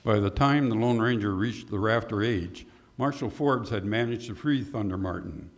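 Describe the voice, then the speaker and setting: A man's voice: lowish voice, moderate pace, faint music behind. A man narrating a scripted Western adventure in the style of an old-time radio drama. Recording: clearly noisy background.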